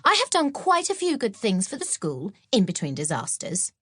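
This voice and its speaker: A woman's voice: medium pitch, wildly swooping pitch, normal speed, fairly bright tone, husky, no room sound, clean studio sound, voice pushed up, exaggerated voice. A woman voicing an earnest, accident-prone young schoolgirl witch who confides sheepishly, in a children's audiobook. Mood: indignant. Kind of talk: children's audiobook character acting.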